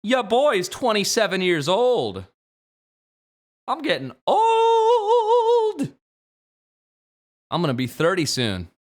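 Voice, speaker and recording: A man in his twenties, a game streamer, chatting jokily on a late-night livestream and jumping from low mumbles to sudden shouts. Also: wildly swooping pitch, slow, long pauses. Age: 20s. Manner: playful, jokey, swings from mumbling to sudden shouts.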